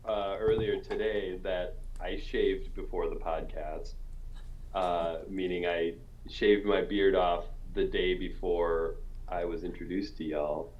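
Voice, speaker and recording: A man in casual conversation, halting and hesitant, joking dryly with friends. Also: low-pitched, fairly even intonation, dull audio. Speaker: podcast sound designer and editor. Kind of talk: casual chat.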